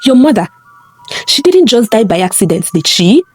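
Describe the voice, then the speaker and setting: A woman storyteller voicing a blunt, steady woman who makes grave accusations in a dramatic folktale. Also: fairly high pitch, moderate pace, breathy edge, faint music behind. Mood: grave determination.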